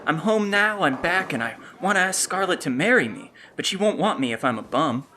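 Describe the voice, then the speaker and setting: Rough young male veteran acted by a man, bright forceful voice, pleading manner, radio drama with faint noise. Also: sits high, lively intonation, fairly quick. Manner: earnest, pleading. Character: eager, pleading rough young war veteran seeking work. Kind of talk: radio play acting.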